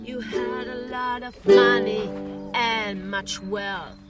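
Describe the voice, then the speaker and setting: Spaced-out young woman singing a sad funeral song, super slow, high voice, loud guitar. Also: fairly even intonation. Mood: mournful. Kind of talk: slow comic lament sung to guitar. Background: loud acoustic guitar.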